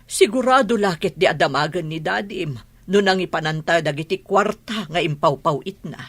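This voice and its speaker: A worried mother in a radio drama. Her voice sits on the low side and is noticeably husky, with a plaintive way of talking. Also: lively intonation, normal speed. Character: troubled mother worried about money and hard work. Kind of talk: regional radio drama acting.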